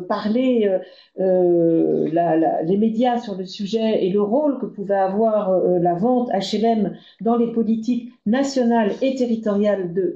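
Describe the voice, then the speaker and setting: A woman giving a semi-improvised expert presentation on a web conference, halting often with drawn-out hesitations. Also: on the high side, mellow. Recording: dull, echoey web conference audio.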